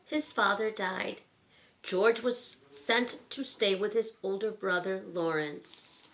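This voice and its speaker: A woman reading a children's book aloud in a simple, measured storytelling manner with pauses. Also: mid-range voice, fairly bright tone, a little hiss or hum.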